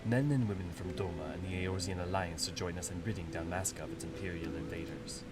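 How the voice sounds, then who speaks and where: A man voicing a stern, demanding female resistance general, reading her lines aloud in a measured, commanding way. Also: low-pitched, pitched below the performer's own voice, close to an everyday voice. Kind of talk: reading game dialogue aloud. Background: music.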